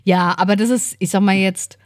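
A woman's voice: medium pitch, fairly even intonation, moderate pace, no echo, studio quality. A middle-aged woman co-hosting a podcast, chatting casually in an informal, enthusiastic manner. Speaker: podcast co-host. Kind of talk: casual chat.

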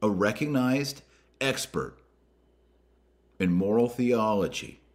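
An American man hosting a podcast, reading a document aloud and commenting on it with deliberate emphasis. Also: on the low side. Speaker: podcast host.

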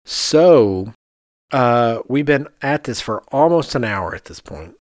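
A middle-aged man chats as a podcast host. His mid-pitched voice is recorded very dry and close. He talks candidly and emphatically, repeating phrases for effect. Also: big pitch swings, takes it slowly, dry recording. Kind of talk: casual podcast chat.

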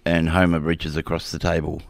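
A man's voice: a bass voice, little pitch movement, moderate pace, vocal fry, no room sound. An older man from the United States, co-hosting a radio chat with dry jokes and anecdotes. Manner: dry, joking, tells anecdotes. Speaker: radio show co-host.

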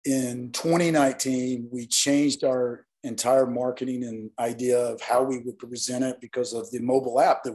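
A hoarse man from Northeast Alabama, a guest talking earnestly and at length in an interview. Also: medium pitch, darkish tone. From Northeast Alabama, US South. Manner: earnest, rambling explanations. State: hoarse, partly lost voice. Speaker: guest, nightlife event promoter. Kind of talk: casual interview talk.

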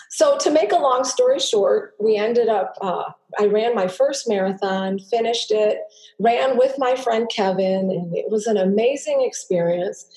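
A middle-aged woman, a native Greek speaker, telling her personal story as a podcast guest in an earnest, halting way with many restarts. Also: fairly high pitch, a little mellow. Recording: roomy echo.

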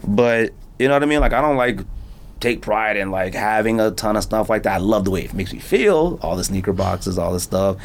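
A man's voice: on the low side, brisk, vocal fry. A middle-aged American man hosting a podcast, chatting casually in slangy, rambling anecdotes. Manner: slangy, animated storytelling with frequent "man" and "fam". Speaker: podcast host. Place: indoor podcast studio.

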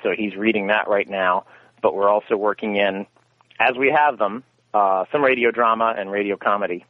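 A man's voice: on the low side, steady tone, normal speed, no echo. A man giving interview answers, telling stories in a rambling way and correcting himself as he goes. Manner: rambling, self-correcting storyteller. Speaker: guest, radio theater founder. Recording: tinny phone line, dry.

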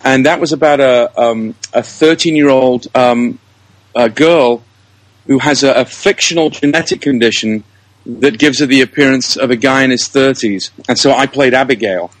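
A man telling his story casually as an interview guest, often halting to search for words. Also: mid-range voice, dry recording, poor audio. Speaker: interview guest, comedy producer.

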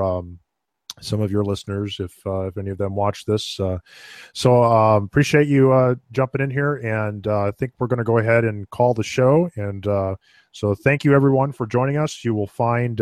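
A man's voice: fairly low pitch, no room sound, studio quality. A man hosting a podcast, wrapping up the show in an easygoing, hesitant way with many fillers. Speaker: podcast host. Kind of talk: casual show wrap-up.

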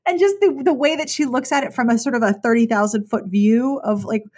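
A woman's voice: a little high, normal speed, darkish tone. Chatty, enthusiastic woman podcast host. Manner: enthusiastic, self-deprecating, chatty. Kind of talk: conversational interview.

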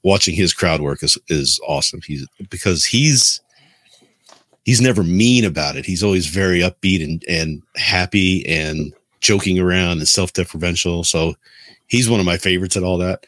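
A man's voice: low voice, moderate pace, fairly bright tone, dry recording, clean studio sound. A man speaking as a podcast co-host in casual conversation, explaining things through anecdotes. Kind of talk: casual panel chat.

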